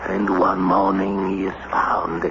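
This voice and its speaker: An actor playing a frightened, superstitious French manservant, halting and deferential, in a radio drama. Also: male voice, low-pitched, completely flat delivery, dull audio. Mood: fearful. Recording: noisy, band-limited old radio broadcast.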